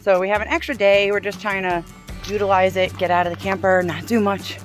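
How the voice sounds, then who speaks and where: A woman's voice: mid-range voice, flat delivery, fairly quick. A woman in her thirties chatting casually and playfully as a travel vlogger. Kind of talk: casual chat. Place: truck cab, woods on a hike. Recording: music behind the voice.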